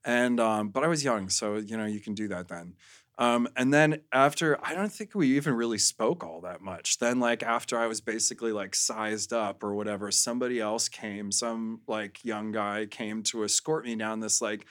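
Man casually telling stories, halting delivery. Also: on the low side, fairly bright tone. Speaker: podcast guest. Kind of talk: casual candid storytelling.

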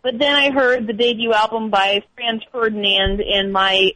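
A woman's voice: fairly high pitch, fairly even intonation, moderate pace, bright, forceful tone, low-quality recording. A young woman caller chatting casually and reading comments aloud, somewhat hesitant in manner. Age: young adult.

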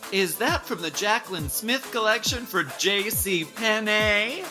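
A woman playing a theatrical, cruelly grandiose drag competition host in a comic radio play, with drawn-out announcements. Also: medium pitch, lively intonation, over music, lightly acted. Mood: catty. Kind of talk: parody reality-show hosting in radio play.

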